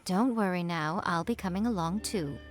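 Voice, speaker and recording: A woman's voice: on the low side, normal speed, natural voice. A woman voicing a sly, playful female shrine priestess who drawls and teases in a video game. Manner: teasing, amused, drawling. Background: game background music.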